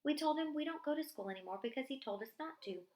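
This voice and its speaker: A woman reading a diary-style story aloud in an expressive narrating style, acting out the dialogue. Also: sits high, quick pace. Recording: echoey room.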